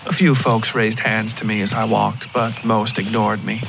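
A man reading scripted first-person dark fiction as the narrator of a story podcast. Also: on the low side, little pitch movement, normal speed, vocal fry, noisy background.

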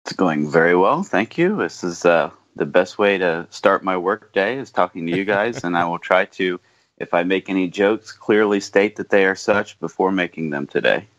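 Low-voiced man on a dull lo-fi mic. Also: lively intonation, moderate pace, a bit bright, no echo. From United States. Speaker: podcast panelist. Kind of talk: casual chat.

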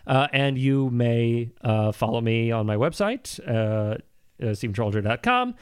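A man's voice: medium pitch, expressive, normal speed, clear, resonant tone. A man chatting casually as a comedy podcast guest, with a dry, sardonic way of talking.

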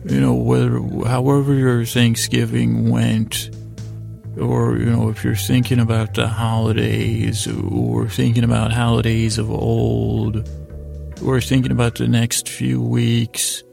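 A man's voice: mid-range voice, completely flat delivery, slow pace, vocal fry, warm tone, faint music behind. A middle-aged American man hosting a bedtime podcast, rambling hesitantly in soothing, lulling tones. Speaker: podcast host. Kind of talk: rambling bedtime monologue to help listeners sleep.

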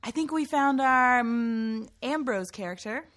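A woman's voice: on the high side, animated, measured pace, fairly bright tone, no room sound. An actress playing a suspicious, pushy woman who writes and casts an amateur production, in naturalistic dialogue. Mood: encouraging. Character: suspicious, pushy amateur playwright running auditions. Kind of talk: naturalistic scripted drama dialogue.

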